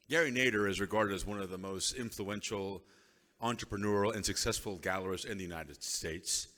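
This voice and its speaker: A fairly low-voiced male emcee introduces an honoree at a gala, emphatic and building his lines up. The sound carries through a PA with long hall reverb. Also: sharp tone. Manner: emphatic, building to a rousing crescendo. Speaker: master of ceremonies. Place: large banquet hall.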